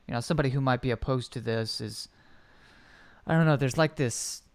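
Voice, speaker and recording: A man's voice: mid-range voice, fairly quick. A man hosting a podcast, speaking carefully and hesitantly, searching for words. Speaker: podcast host. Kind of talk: casual chat.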